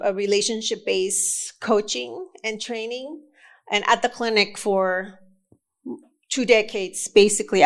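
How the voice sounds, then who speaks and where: A woman from Indonesia giving a conference talk, speaking slowly and deliberately with frequent fillers and restarts. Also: mid-range voice. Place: conference session room.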